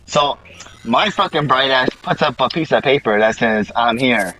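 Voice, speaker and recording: A man's voice: medium pitch, moderate pace, pushed and bright, a little hiss or hum, rough recording. A young man telling a rambling, slangy, profane story casually to his friends.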